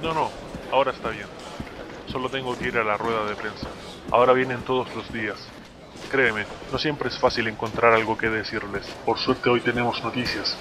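A man playing a busy, businesslike police captain in a game dub, brisk and authoritative, with a Latin American Spanish accent. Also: mid-range voice, fairly even intonation, natural voice. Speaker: voice actor in a game fan dub. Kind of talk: dramatic dubbed dialogue. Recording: noisy office ambience behind.

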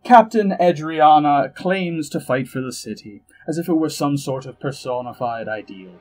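An actor playing a suave, self-satisfied elf ambassador and spymaster in an audio drama, arch, droll and flowery, with a husky voice. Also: male voice, a little high, animated, normal speed, a little mellow, lightly acted. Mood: wry relish. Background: music under the voice.

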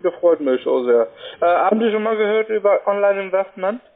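A man who is not a native German speaker, making a halting telephone sales pitch and often checking in with a short question. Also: lively intonation. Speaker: cold-calling telephone salesman. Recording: tinny narrow-band phone line.

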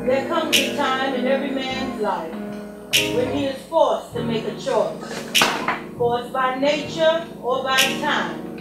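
A woman performing as the solemn, deliberate narrator of a stage drama, posing rhetorical questions. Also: high voice, distant mic. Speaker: narrator of a gospel stage play. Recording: echoey hall from afar, loud music.

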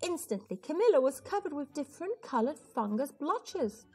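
A woman's voice: sits high, very animated, moderate pace, darkish tone. A woman storyteller reading a children's picture book aloud with expression. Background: music.

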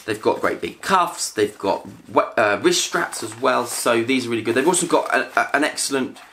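A man's voice: mid-range voice, normal speed, pushed and bright, slight background noise. A British man in his thirties, chatting casually about gear while seated on a living room sofa. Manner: chatty, upbeat, laughs between items. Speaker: family vlogger. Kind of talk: casual product haul chat. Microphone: camera on a tripod in front.

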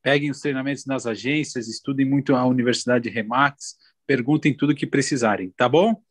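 A man's voice: mid-range voice, brisk, slightly rough, no room sound. A man from a real estate office giving encouraging closing remarks on a video call.

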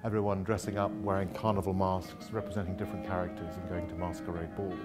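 A middle-aged composer with a very low voice explains his ideas articulately indoors. Music is clearly heard behind him. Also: little pitch movement, quick pace. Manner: articulate and descriptive. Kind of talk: interview. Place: room, indoors.

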